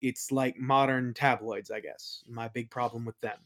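A podcast guest chatting casually about creative work, a little hesitant and softening opinions as they go. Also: medium pitch, steady tone, normal speed. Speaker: interview guest, creator of a fantasy audio play. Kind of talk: casual interview chat about worldbuilding.

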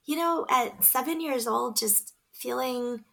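A woman's voice: high voice, slow, gravelly, pushed and bright. A woman in her thirties, a podcast guest, talking in an earnest, reflective way with many fillers. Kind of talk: casual conversation.

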